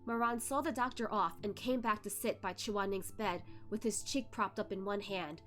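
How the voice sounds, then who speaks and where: A woman reading a novel aloud as expressive narration, occasionally tripping over a word and correcting herself. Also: mid-range voice, normal speed. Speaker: host of a read-along reading a web novel aloud. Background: music behind the voice.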